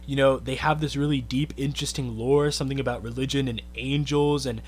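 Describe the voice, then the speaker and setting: A man's voice: medium pitch, fairly even intonation, fairly quick, a little mellow, slight background noise. A man giving a casual, earnest commentary rant, thinking aloud with lots of "I think" and "you know". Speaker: YouTube commentator.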